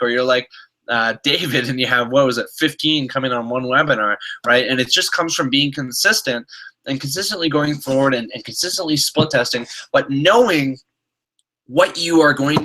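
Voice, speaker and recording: A man's voice: medium pitch, sharp tone, rough recording. A man with a stuffed-up, slightly nasal voice, giving a motivational coaching talk on a video call and often restarting his sentences. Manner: repetitive, restarting, pep-talk tone. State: stuffed-up nose. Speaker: online marketing coach.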